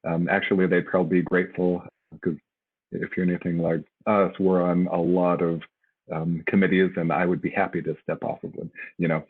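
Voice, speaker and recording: Deep husky man on a tinny line. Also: flat delivery, fairly quick. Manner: hesitant and casual, many fillers. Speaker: faculty panelist. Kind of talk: advice on a webinar panel.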